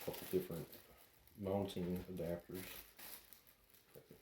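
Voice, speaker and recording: Low-voiced man, casual unboxing, roomy echo. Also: level tone, measured pace. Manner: unscripted, hesitant, plain-spoken. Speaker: product reviewer doing an unboxing. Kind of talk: casual hands-on unboxing commentary. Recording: roomy echo, faint background noise.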